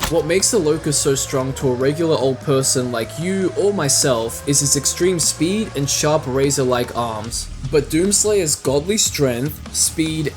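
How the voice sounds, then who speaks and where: A man narrating a scripted fan video essay in an explanatory way with casual asides. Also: fairly high pitch. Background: music.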